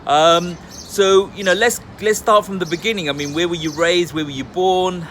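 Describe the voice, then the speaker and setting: A man's voice: high-pitched, fairly even intonation, moderate pace, fairly bright tone, a little hiss or hum. A man hosting a casual conversational interview, asking probing questions in an informal, chummy way. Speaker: interviewer and channel host. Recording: music playing behind the voice. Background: music.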